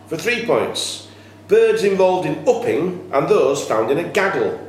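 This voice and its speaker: A man working as quizmaster, reading questions to contestants in a measured way with informal asides. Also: on the high side, very animated. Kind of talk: reading pub-style quiz questions to contestants. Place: echoey room at a cricket club. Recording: very echoey room, faint noise.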